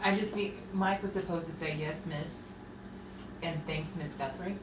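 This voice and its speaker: A woman's voice: deep voice, a little slow. A woman hosting and directing an audio drama troupe, talking casually to her cast and listeners. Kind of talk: casual directing and show sign-off. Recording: echoey noisy room, far from mic.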